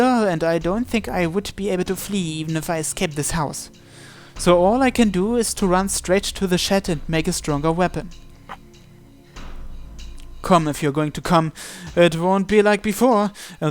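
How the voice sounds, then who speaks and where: A man reading a visual novel aloud in an expressive, dramatic first-person narration with occasional stumbles. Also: high voice.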